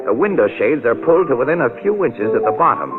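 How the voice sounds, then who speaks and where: An actor playing a sharp, stubborn, wisecracking crime photographer in 1940s radio drama style, brisk and wry. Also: male voice, mid-range voice, sing-song, close to an everyday voice. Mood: excited, urgent. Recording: muffled vintage radio recording with music.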